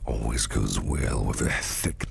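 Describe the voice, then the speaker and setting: A man playing a sinister, flirtatious businessman boss in an ASMR roleplay, speaking close to the mic in a gravelly, breathy near-whisper with a teasing, menacing purr. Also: very deep voice, unhurried, exaggerated voice. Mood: teasing menace.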